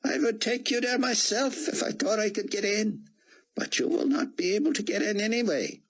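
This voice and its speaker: A man voicing a kindly, cautious older male scientist who speaks gently and protectively. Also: high voice, moderate pace, voice pushed up. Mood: gently refusing.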